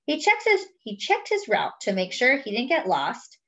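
Animated woman reading a picture book aloud. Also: on the high side, moderate pace. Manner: expressive, explains words and pictures as if to young children. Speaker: host of a children's read-aloud.

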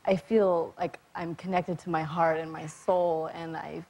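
Soft-spoken hesitant young woman. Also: sits low, little pitch movement, a little slow. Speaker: guest. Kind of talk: interview answers. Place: talk show studio.

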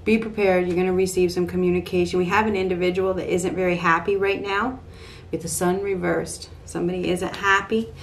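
A middle-aged woman giving an improvised tarot reading to camera in a small room at home, halting and repeating phrases as she thinks aloud. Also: fairly low pitch. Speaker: tarot card reader. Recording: clear steady background room noise.

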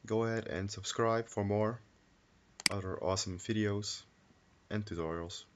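A man with a low-pitched voice presenting a casual software tutorial, recorded on a computer microphone. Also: steady tone, a little slow. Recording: noisy home microphone with clicks.

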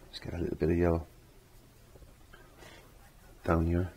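A middle-aged man with a croaky voice giving a casual step-by-step painting lesson, rambling as if to himself and repeating his phrases. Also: deep voice, monotone, moderate pace, darkish tone, slight background noise. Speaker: painting instructor. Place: indoor home art studio.

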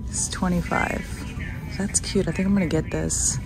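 A woman's voice: on the low side, steady tone, moderate pace, vocal fry, low-quality recording. A young woman vlogging casually while browsing a large store, chatting and murmuring to herself as she films on a handheld phone. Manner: chatty, soft-spoken, often murmuring to herself. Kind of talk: casual shop-along commentary. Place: large retail store indoors. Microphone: handheld phone camera. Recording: loud music under the voice. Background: loud background music.

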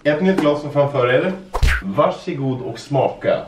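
A man's voice: mid-range voice, lively intonation, noisy background. Man hosting a family taste test, teasing quizmaster, roomy echo, a bit distant from the mic. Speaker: family vlog host running a taste test. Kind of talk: casual family chat. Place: bright indoor room at a dining table. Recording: echoey room, from the mic.

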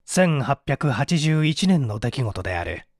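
A man's voice: mid-range voice, big pitch swings, measured pace, no room sound, studio quality. A man reading a mystery story aloud in a formal, theatrical style of dramatic narration. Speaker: audiobook reader.

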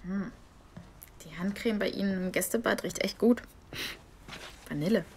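A woman's voice: mid-range voice, moderate pace, a little hiss or hum, natural voice. An actress playing a guarded, self-possessed young woman in an audio drama, understated and matter-of-fact. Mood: guarded, dry. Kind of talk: naturalistic German audio drama acting.

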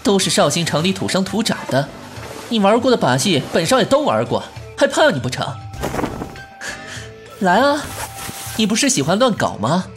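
A woman playing a brash, sharp-tongued young gentleman in a Chinese costume audio drama, theatrical and indignant. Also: medium pitch, sing-song, moderate pace, pauses often, music in the background, close to an everyday voice. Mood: defiant, provocative.

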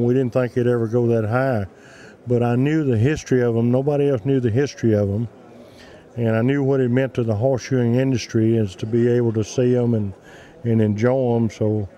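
Mellow older man telling stories. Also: mid-range voice. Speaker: museum representative interviewed. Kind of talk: storytelling interview answers. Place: large indoor trade show hall. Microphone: handheld interview microphone.

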